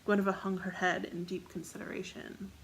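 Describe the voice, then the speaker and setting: A woman's voice: medium pitch, little pitch movement, brisk, vocal fry, slight background noise, low-quality recording. A woman reading scripted fiction as the narrator of a story.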